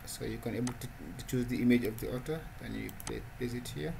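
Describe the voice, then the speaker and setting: A man talks through a coding tutorial while recording his screen, stumbling and repeating words as he goes. His voice sits at an ordinary middle pitch. A steady layer of noise runs under the recording. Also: vocal fry. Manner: halting, repeats words, non-standard English phrasing. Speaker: programming tutorial host. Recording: noisy home recording.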